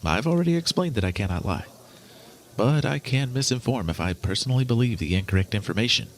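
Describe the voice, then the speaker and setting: A man's voice: mid-range voice, lively intonation, quick pace, close to an everyday voice. A man playing a precise, literal, earnest character in an audio drama, with pedantic, exact phrasing. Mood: earnest, matter-of-fact. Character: precise, literal, earnest man named Hastings who cannot lie.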